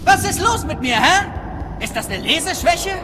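A man's voice: very high voice, big pitch swings, normal speed. A man acting a naive, scatterbrained, babbling young man in a comic video game cutscene. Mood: self-mocking. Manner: babbling, goofy, self-deprecating. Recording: noisy, long reverberant hall sound.

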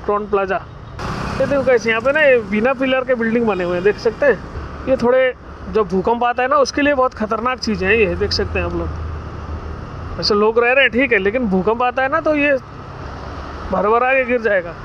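A high-voiced man in his thirties talks casually to his viewers while riding a scooter through the city. The sound comes from a camera on the bike, with loud street and engine noise all around. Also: normal speed, muffled recording. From India. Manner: chatty, addresses viewers as guys. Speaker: vlogger. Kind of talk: casual moto vlog commentary. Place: riding a scooter on city roads, then indoors at an office. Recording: traffic noise of a scooter ride.

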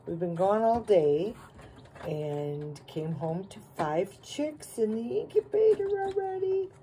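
A woman's voice: medium pitch, big pitch swings, slow, dark tone. A plain-spoken, folksy woman giving casual home-video narration on a noisy phone recording. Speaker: farm vlogger.

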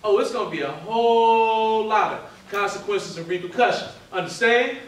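A man's voice: high voice, normal speed, close to an everyday voice. A middle-aged man on stage playing a swaggering, intimidating drug boss and father, speaking in an emphatic, projected way. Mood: menacing. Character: menacing swaggering drug boss and father, testing his crew. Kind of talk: stage play acting. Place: indoor theater stage. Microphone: far camera. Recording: echoey theater stage, distant mic, noisy.

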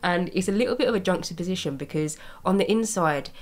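A young woman narrates her building video in a casual, rambling way. Her voice sits a little low and carries a slight rasp from a lingering cold. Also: fast talker. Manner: chatty, rambling, with small laughs. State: raspy from a cold. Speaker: Sims speed-build YouTuber. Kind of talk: casual commentary over gameplay. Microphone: voice-over recorded separately from gameplay.

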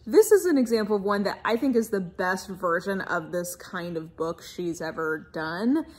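Slightly husky American woman chatting about books. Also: lowish voice, animated, moderate pace. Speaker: book reviewer. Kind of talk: casual book review vlog. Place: furnished room at home, indoors.

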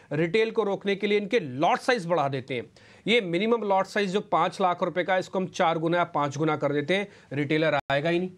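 A middle-aged man speaks as a market analyst in a TV news studio, his voice sitting a little high for a man. He talks emphatically, building points with rhetorical questions and sprinkling English terms into his Hindi. The sound is close and clean, as if from a lapel mic. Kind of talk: explaining to an anchor on live TV.

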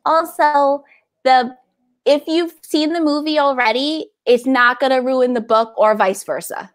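Higher-voiced woman hosting a kids' event. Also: fairly even intonation, a little slow. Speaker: library event host. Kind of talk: casual chat for a young audience. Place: indoors at a video call setup.

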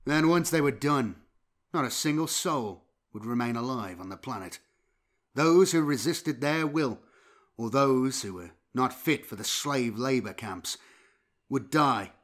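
A man's voice: mid-range voice, a bit bright. A man reading dramatic scripted fiction as an audiobook narrator. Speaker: audiobook narrator and channel host.

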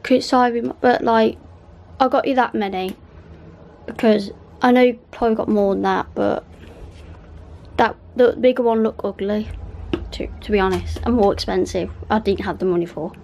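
A woman's voice: mid-range voice, normal speed, creaky, a little mellow. A woman, chatting in a rambling, giggly way while vlogging from a bedroom at night. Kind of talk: casual chat. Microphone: handheld camera.

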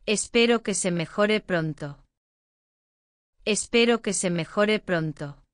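A woman's voice: mid-range voice, slow pace, clean tone, no echo. A woman reading short phrases aloud twice each for a language lesson, with careful enunciation into a close mic. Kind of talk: slow repeated phrase reading.

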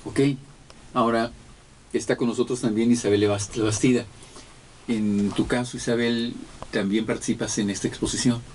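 Un hombre conductor de radio que hace preguntas de forma conversacional a sus invitados. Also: male voice, mid-range voice, steady tone, normal speed, a little mellow, a little hiss or hum, poor audio. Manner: conversational, searching questions. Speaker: radio co-host. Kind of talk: radio interview questions. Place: small radio studio. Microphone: desk studio mic.

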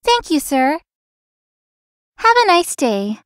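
A woman playing a feisty young florist in a visual novel, earnest and expressive. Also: sits high, takes it slowly, a little hiss or hum, full character voice. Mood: courteous. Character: feisty young female florist, polite but privately resentful.

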